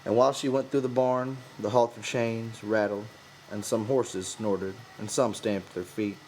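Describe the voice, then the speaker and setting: A man's voice: lowish voice, fairly even intonation, measured pace, darkish tone, slight background noise, low-quality recording. A man narrating a novel from a script as an audiobook reader.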